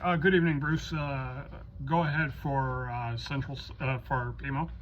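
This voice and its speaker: A halting, courteous man speaking as the controller of an amateur radio net, in procedural style. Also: mid-range voice, steady tone. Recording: dull lo-fi audio, faint noise.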